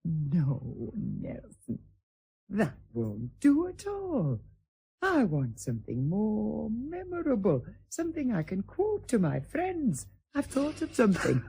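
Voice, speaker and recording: Bold possessive mistress in a radio play, slow and theatrical, gravelly husky voice, teasing. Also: female voice, medium pitch, wildly swooping pitch, dark tone, exaggerated voice, poor audio. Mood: teasing, grand. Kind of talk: radio drama acting.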